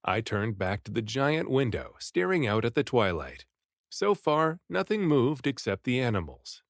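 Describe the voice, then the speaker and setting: A man reading a story aloud in an expressive, dramatic first-person audiobook style. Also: mid-range voice, a little slow, gravelly, no echo, studio quality. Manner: dramatic, animated delivery with acted dialogue. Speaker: read-aloud narrator and voice actor.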